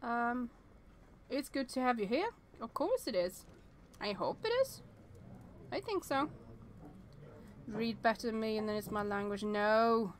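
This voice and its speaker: Higher-voiced woman streamer chatting. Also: lively intonation, unhurried, pauses often, a little hiss or hum. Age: 30s. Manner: chatty, thinking aloud with hesitant restarts. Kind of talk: live-streaming a visual novel, reading aloud and chatting.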